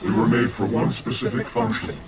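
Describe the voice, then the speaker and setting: A man's voice: mid-range voice, little pitch movement, fairly quick, loud music behind the voice, close to an everyday voice. A man playing a cold collective machine intelligence in a video game, speaking in clipped, formal statements. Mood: solemn, explaining. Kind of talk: scripted video game cutscene acting.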